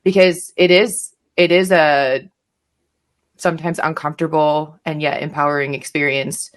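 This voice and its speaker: A woman's voice: sits low, fairly even intonation, normal speed. A woman with an American accent, a podcast guest giving casual advice in an informal, hedging way, sprinkled with "like" and "you know".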